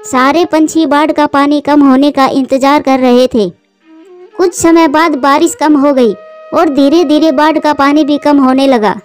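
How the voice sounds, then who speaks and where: A woman narrating a scripted children's animal fable as a storyteller. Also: sits high.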